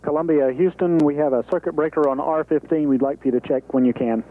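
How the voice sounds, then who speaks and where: A man's voice: fairly high pitch, steady tone, fast, phone-quality sound. An American man at mission control relaying procedures over radio in a businesslike, step-by-step way. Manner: clear step-by-step instructions, businesslike. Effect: radio transmission. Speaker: capsule communicator at mission control. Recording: thin, band-limited space radio link.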